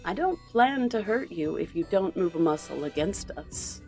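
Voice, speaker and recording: A smug supervillain played by a woman in an audio drama. She sounds mocking and theatrical, taunting whoever stands against her, at a medium pitch with faint music underneath. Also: expressive, moderate pace, darkish tone. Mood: menacing. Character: smug, mocking female supervillain holding hostages.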